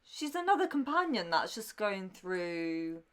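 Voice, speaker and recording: A woman's voice: mid-range voice, big pitch swings, measured pace. A woman giving casual reactions in conversation, thinking aloud in a hesitant way. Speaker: reaction show host, newcomer to the series.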